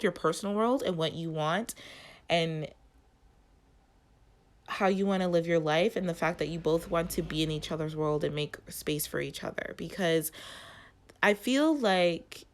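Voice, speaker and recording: Nasal woman giving a halting tarot reading. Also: low-pitched. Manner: halting, searching for words, many "like" fillers. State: nasal from allergies. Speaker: tarot reader and channel host. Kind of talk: spoken tarot reading to viewers.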